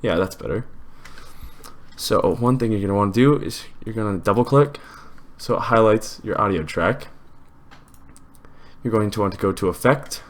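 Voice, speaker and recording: Man, very low pitch, tutorial host, casual, informal, step-by-step explaining. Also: normal speed.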